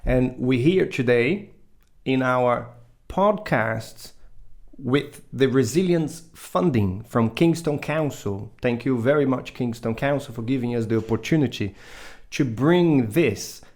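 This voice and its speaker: A man's voice: mid-range voice, expressive, slow pace. A man hosting an interview podcast, introducing the show and his guest in a conversational way. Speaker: podcast host.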